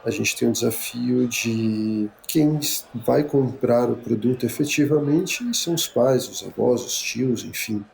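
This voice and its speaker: A man's voice: mid-range voice, measured pace, vocal fry, hardly any pauses, darkish tone. A man from São Paulo, a guest explaining his marketing career plainly, tagging sentences with "né". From São Paulo, Brazil. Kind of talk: casual interview chat.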